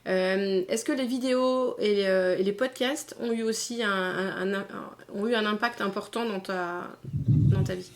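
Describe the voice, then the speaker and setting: A woman's voice: medium pitch, steady tone, moderate pace. A woman hosting a casual interview, asking questions and encouraging her guest with frequent agreement. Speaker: interviewer, host.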